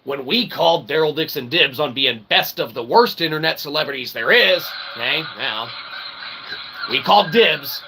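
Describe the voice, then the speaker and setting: Gravelly man in a rambling monologue. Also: a little high, lively intonation, moderate pace. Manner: wordy, mock-formal phrasing with folksy asides; emphatic, often checking in with "okay" and "right". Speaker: channel host. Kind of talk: rambling philosophical monologue to viewers. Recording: clear background ambient noise.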